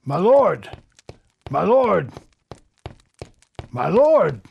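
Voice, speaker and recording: A man playing an agitated servant in an audio drama, with a husky, gravelly voice. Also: sits high, very animated, very slow, highs cut off, exaggerated voice. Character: agitated servant calling to his lord.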